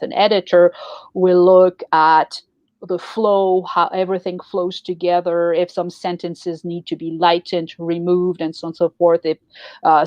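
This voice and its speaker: A woman's voice: lowish voice, darkish tone, no room sound, lo-fi sound. A woman whose first language is French, co-hosting a podcast and explaining writing tips in a casual, roundabout way with many fillers. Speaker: podcast co-host. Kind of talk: casual advice talk.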